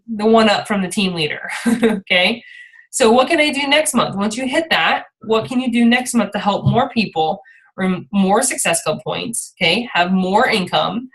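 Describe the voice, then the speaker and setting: A woman's voice: medium pitch, fairly bright tone, rough recording. Encouraging woman coaching her team. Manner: encouraging, rambling, often checks in with "okay?". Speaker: team leader and coach hosting a call. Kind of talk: informal motivational team training call.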